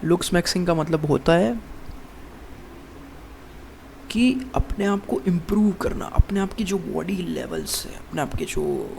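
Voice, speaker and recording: A man's voice: high-pitched, very animated, normal speed, vocal fry, darkish tone, slight background noise. A man of twenty-one giving a casual, rambling monologue as a fitness vlogger, calling the viewer "bro" over and over and restarting his sentences. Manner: rambling, addresses viewer as "bhai" constantly, many restarts.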